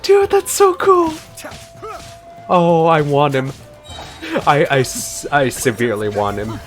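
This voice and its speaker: A man's voice: fairly high pitch, big pitch swings, slow pace, faint music behind. A man giving casual, exclamatory gameplay commentary, informally thinking aloud as he plays. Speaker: gaming video creator.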